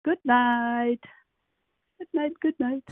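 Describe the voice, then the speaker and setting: A woman in a phone conversation with deliberate, formal phrasing, heard over an echoey, narrow phone line. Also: a little high, fairly even intonation. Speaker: prank call recipient.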